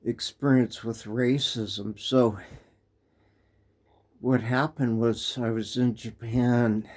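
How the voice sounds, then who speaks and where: An American man telling a personal story in a casual, halting way, full of restarts and fillers. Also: mid-range voice, a little mellow. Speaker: vlogger. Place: living in Japan.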